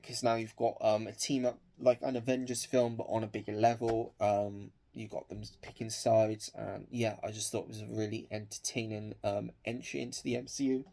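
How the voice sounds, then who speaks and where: Sniffly young man with a mellow voice. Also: medium pitch, creaky. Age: young adult. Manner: hesitant, lots of ums. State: sniffly from a cold. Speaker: film collector vlogger. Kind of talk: casual collection showcase.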